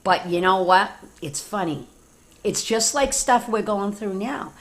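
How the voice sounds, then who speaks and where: A woman with an American accent reading a story aloud to children, animated and informal, with chatty asides. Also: medium pitch, unhurried. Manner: animated, informal, talks directly to young children. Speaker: teacher reading stories to children.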